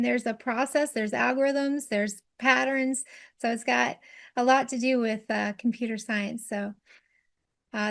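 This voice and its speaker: A woman hosting an online workshop, moderating introductions in a friendly, encouraging way. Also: a little high. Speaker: workshop host.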